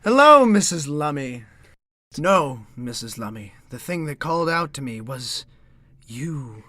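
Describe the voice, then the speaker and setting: A man playing an earnest gentleman hero in an audio drama, speaking in a dramatic, declamatory way. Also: a little high, very animated, a little slow, no echo, exaggerated voice. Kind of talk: audio drama acting and narration.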